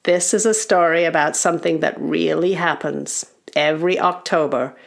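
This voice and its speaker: A woman's voice: low voice, steady tone, moderate pace, creaky. A woman voicing a stern older male goblin uncle who speaks with dramatic, commanding menace in a storybook. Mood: ominous. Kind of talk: storybook character voice.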